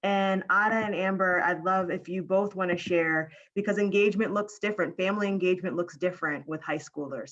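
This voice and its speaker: A woman with a low voice moderates a panel and hands the floor to guests. Her audio is dull and lo-fi, and she speaks politely with fillers. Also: fairly bright tone.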